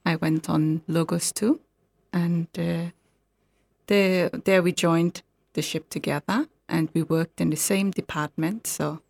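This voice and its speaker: A woman in her thirties from Saint Vincent, a guest in a studio interview, giving short, soft-spoken answers. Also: fairly low pitch, steady tone, takes it slowly, vocal fry, no echo. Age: 30s. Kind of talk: casual interview talk. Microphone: studio mic.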